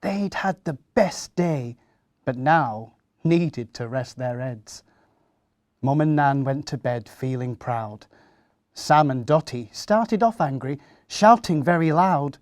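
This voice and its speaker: A man reading a rhyming children's story aloud in an expressive storytelling style. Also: mid-range voice, no echo, clean studio sound.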